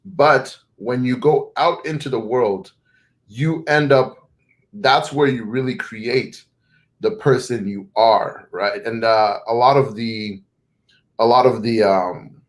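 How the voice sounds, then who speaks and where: A man's voice: medium pitch, takes it slowly. A young man with a North American accent, born in Zimbabwe and raised in North America, answering interview questions thoughtfully, often restarting and correcting himself. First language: not English. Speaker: guest. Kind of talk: conversational interview.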